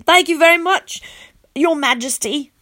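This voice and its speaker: A woman voicing a brave, excitable young child who recounts an adventure in an earnest, emphatic way. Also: high-pitched, normal speed, pitched above the performer's own voice. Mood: amazed, grateful.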